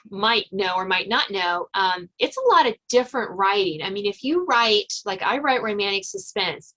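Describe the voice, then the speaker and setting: A woman's voice: mid-range voice, sharp tone, low-quality recording. A woman hosting a podcast interview in a chatty, conversational way, often rephrasing as she goes. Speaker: podcast host.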